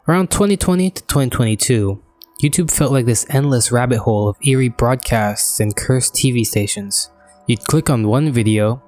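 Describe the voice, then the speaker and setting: Man, mid-pitched voice, scripted video essay narration, measured explanatory delivery. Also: darkish tone, dry recording.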